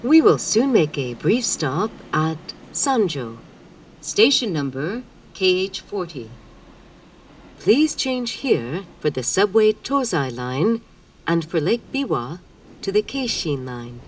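Slow, measured woman making train announcements. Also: lowish voice, sing-song, slight background noise. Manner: measured, formal, carefully enunciated. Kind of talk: scripted transit announcement. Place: train car, over public-address speakers. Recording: recorded off an in-car speaker, slightly distant.

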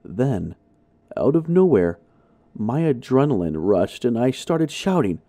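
Slow, animated male horror narrator, dark timbre. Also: medium pitch, dry recording. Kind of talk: dramatic scripted narration.

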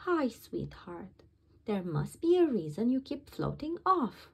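A woman's voice: a little high, big pitch swings, a little slow, creaky, slightly rough, heavily acted. A woman voicing an eager, bossy young girl puppy who plays at being mum, in a storybook reading. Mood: tender.

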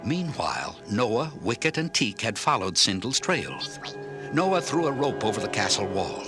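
A man narrating a children's story in an expressive, clearly enunciated read-along style. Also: on the low side, moderate pace, over music. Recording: orchestral film score under narration.

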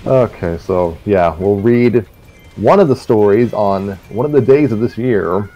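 A man co-hosting a show in a deadpan manner, mixing scripted announcements with casual chat. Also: fairly low pitch, unhurried, muffled recording, faint music behind. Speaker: co-host of a story-reading show.

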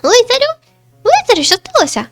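A woman's voice: extremely high pitch, huge pitch swings, fairly quick, a bit bright, faint music behind, pitched above the performer's own voice, full character voice. A woman voicing a brave, protective young magical girl from a visual novel, with emphatic, urgent exclamations. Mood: worried. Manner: emphatic, exclamatory battle cries. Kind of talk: dramatic visual novel voice acting.

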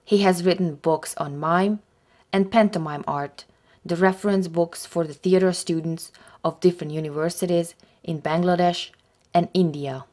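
A woman reading scripted documentary narration in a steady, formal way. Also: on the low side, moderate pace.

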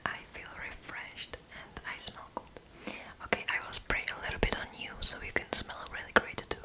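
Female, twenties, ASMR roleplay: fully whispered, breathy, gentle, close-miked. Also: normal speed. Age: 20s. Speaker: ASMR video creator playing a roommate. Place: small indoor room. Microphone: close microphone.

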